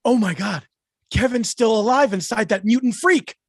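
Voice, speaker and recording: An actor voicing an exasperated, melodramatic animal in an animated comedy, with a gravelly voice. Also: medium pitch, normal speed, dry recording, studio quality. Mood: horrified despair. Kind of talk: table read of animated comedy script.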